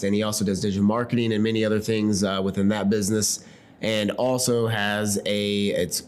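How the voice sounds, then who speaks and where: A man hosting a podcast, welcoming a guest and asking questions in a halting, casual way with many restarts. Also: fairly low pitch, slight background noise. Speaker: podcast host.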